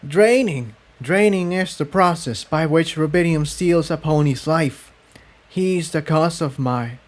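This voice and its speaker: A man's voice: on the high side, measured pace, pitched above the performer's own voice, lightly acted. A man voicing a female pony defector in a story, weary and hesitant, choosing words carefully. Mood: grave.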